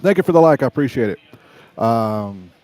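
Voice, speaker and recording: A middle-aged man with a raspy voice, hosting a casual live stream and rambling in a chatty way with frequent restarts. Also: mid-range voice, lively intonation, moderate pace, darkish tone, dry recording, rough recording.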